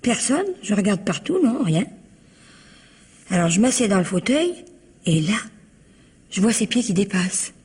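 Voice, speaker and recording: Husky young housemaid. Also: female voice, mid-range voice, big pitch swings, normal speed, pauses often, darkish tone. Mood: gossipy storytelling. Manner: colloquial, chatty, naive frankness. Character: cheeky young housemaid, chatty, defensive, working-class, aged twenty-two. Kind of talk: old French radio mystery acting.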